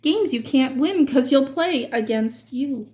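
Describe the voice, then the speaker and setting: A woman with a high-pitched voice reads a rhyming picture book aloud to children. Her delivery is expressive and dramatic, and she stretches out key words as she follows the verse. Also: measured pace. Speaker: children's read-aloud reader.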